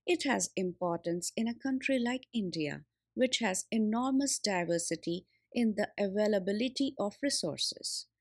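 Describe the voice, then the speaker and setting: A woman's voice: medium pitch, no echo. Woman reading a textbook aloud, dry sound. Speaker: textbook reader. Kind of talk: read-aloud of a school geography textbook.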